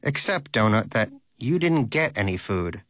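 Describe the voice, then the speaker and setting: A man's voice: mid-range voice, moderate pace, fairly bright tone, no room sound, studio quality, voice pushed up, close to an everyday voice. A man voicing a snobby, sarcastic male pet hamster in a storybook reading, mocking and scornful. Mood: smug.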